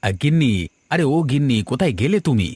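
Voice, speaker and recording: A man's voice: on the high side, animated, quick pace, dark tone, breathy edge, no echo. A man voicing a good-natured village father and husband in a cartoon, with a colloquial, placating manner. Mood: calling. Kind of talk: Bengali cartoon story dubbing.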